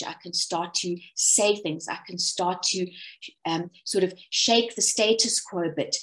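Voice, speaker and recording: A South African woman talking over a video call in a loose, conversational way. She wanders through her points, restarting sentences and filling pauses with ums, and sometimes apologises for her own humour. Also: lowish voice, fairly bright tone, low-quality recording. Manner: self-deprecating, rambling with many restarts and ums. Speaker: webinar presenter. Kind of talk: casual conversational talk.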